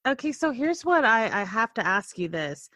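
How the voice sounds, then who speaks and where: A woman's voice: a little high, very animated, normal speed, pushed and bright, no room sound. A woman hosting a podcast, asking her guest questions with eager, affirming interest. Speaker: podcast host.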